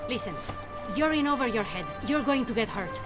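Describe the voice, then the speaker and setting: A bold Spanish-accented swashbuckler heroine played by an actress in a video game. Her voice is high and swings widely, teasing and expressive. Loud music plays behind her. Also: fairly quick, close to an everyday voice. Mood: exasperated. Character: bold, wry swashbuckling heroine, adult woman. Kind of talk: video game character acting.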